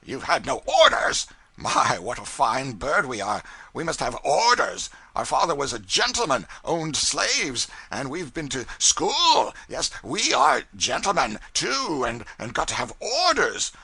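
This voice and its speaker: A man's voice: fairly high pitch, big pitch swings, moderate pace, creaky, few pauses, breathy edge, no room sound, pitched above the performer's own voice, exaggerated voice. Man voicing a shrieking, bullying old riverboat pilot. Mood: shouting, furious. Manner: barking, shouting, sneering. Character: bullying irritable older male riverboat pilot.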